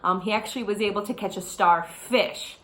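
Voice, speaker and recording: A woman's voice: medium pitch, moderate pace, a bit bright, slight background noise, low-quality recording. A woman presenting to young children in the style of a lesson and storybook read-aloud, with a simple, guiding manner. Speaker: children's STEM teacher and presenter.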